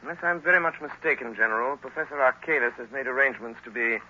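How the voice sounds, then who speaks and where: An actor playing an earnest, persistent young amateur sleuth, urgent yet polite, in 1930s radio style. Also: male voice, medium pitch, quick pace, bright, forceful tone. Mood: urgent, persuasive. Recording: noisy, dull 1930s radio recording.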